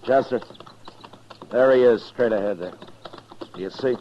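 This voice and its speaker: A man playing a steady, authoritative frontier marshal in a 1950s radio western, plain-spoken, with an American accent. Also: mid-range voice, a little slow, natural voice. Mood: matter-of-fact. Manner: plain-spoken, commanding, terse. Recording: dull, noisy 1950s radio recording.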